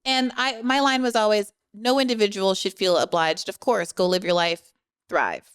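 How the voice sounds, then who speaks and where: A woman hosting a podcast interview, articulate and measured, asking long, structured questions. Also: mid-range voice, smooth. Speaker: podcast host. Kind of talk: interview conversation.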